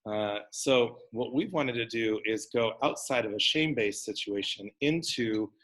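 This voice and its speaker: A man with a hoarse voice after losing it for days, speaking live as a counselor to a small room and online audience, halting with many fillers. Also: mid-range voice, fairly bright tone, poor audio. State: hoarse, recovering from lost voice. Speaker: counselor leading a talk.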